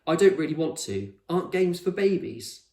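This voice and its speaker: A man's voice: a little high, very animated, normal speed, vocal fry, warm tone, voice pushed up. A man voicing an awkward, defensive young schoolgirl in a storybook, with a hesitant, exclamatory way of talking. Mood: defensive, reluctant. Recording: echoey room.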